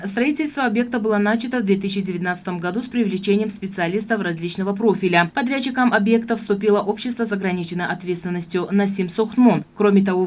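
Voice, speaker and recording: Female news narrator, mid-range voice, fast scripted read. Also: medium pitch, darkish tone.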